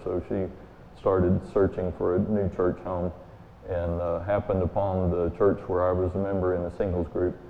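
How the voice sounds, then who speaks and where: Booming low-voiced man preaching in an echoey church. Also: slight background noise. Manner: folksy, relaxed storytelling with many fillers. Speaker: guest speaker. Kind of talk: sermon with personal story. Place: church sanctuary. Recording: echoey hall, tinny phone-like sound.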